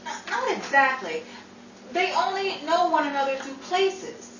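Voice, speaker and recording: A woman acts an eager, starry-eyed aspiring actress in 1940s radio-comedy style. Her fairly high voice leaps around theatrically. The recording is distant and noisy. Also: lively intonation, measured pace, fairly bright tone. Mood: eager, dreamy. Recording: noisy, dull, echoey room from afar.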